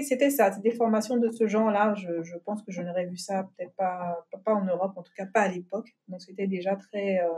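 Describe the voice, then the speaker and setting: A middle-aged woman from Côte d'Ivoire, a guest telling her story in a relaxed interview, with many pauses, fillers and restarts. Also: mid-range voice, slightly rough. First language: not English. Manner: thoughtful, many fillers and restarts, laughs easily. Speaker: podcast guest, company founder.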